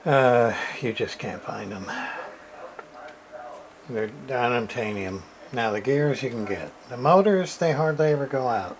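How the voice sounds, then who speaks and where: A man giving a casual, unscripted repair demonstration, haltingly thinking aloud as he explains his work. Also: medium pitch, lively intonation, rough recording. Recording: clear background noise.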